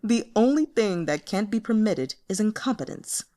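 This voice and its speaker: A woman voicing a calm, imperious male demon sect lord with a languid, condescending and formal manner in an audiobook. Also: medium pitch, normal speed, natural voice. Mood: coolly imperious. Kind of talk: audiobook character voice.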